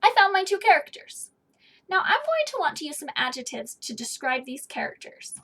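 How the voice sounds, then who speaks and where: A woman's voice: very high voice, sing-song, moderate pace, fairly bright tone. A woman in her thirties giving a children's read-aloud lesson, explaining things in simple phrases for young listeners. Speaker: teacher reading aloud. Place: small indoor office or study.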